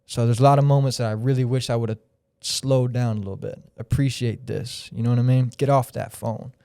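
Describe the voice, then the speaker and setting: Man in his thirties, podcast guest, smooth mellow voice, rambling and reflective. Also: mid-range voice, fairly even intonation, a little fast, no room sound, clean studio sound. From Florida. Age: 30s. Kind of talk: casual podcast chat.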